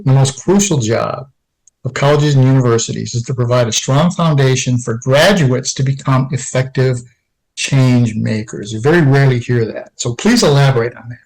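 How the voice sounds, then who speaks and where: A man's voice: mid-range voice, moderate pace, a little mellow, low-quality recording. A man hosting a podcast, reading an introduction and asking questions in an upbeat, encouraging way. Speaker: podcast host. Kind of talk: scripted introduction and interview.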